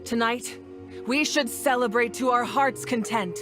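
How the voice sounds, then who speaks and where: A woman playing a dignified, solemn leader of a nation in a video game, speaking in formal, measured oratory. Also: on the high side, music in the background, lightly acted. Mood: stately. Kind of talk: scripted video game voice acting.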